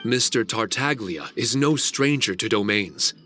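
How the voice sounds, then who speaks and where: A man voicing an ancient male guardian spirit in a video game cutscene, formal, measured and grave. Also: on the low side, expressive, sharp tone, soft background music. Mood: solemn.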